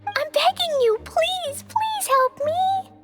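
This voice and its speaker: A woman voicing a small, earnest, naive and excitable girl in a video game cutscene, talking in a childlike way. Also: extremely high pitch, slow pace, full character voice. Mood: distressed, pleading. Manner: childlike, speaks of self in third person. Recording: music behind the voice.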